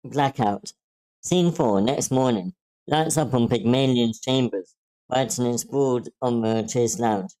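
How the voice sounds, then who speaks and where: A man's voice: mid-range voice, unhurried, dark tone, poor audio. A man reading stage directions aloud as narrator at an amateur script table read.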